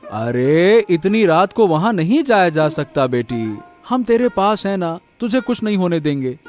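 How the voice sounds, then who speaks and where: Male actor as protective father, slightly high pitch, animated intonation, reassuring, cartoon drama. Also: a little slow, soft background music. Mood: concerned, reassuring. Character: concerned, reassuring village father. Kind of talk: animated moral story dramatization.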